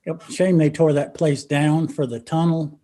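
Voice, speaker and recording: High-voiced wry Appalachian brother played by a man. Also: little pitch movement, a little slow, warm tone, close to an everyday voice. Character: wry Appalachian coal-country brother swapping old family stories. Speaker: actor in a one-act play. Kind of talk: stage play dialogue, reminiscing.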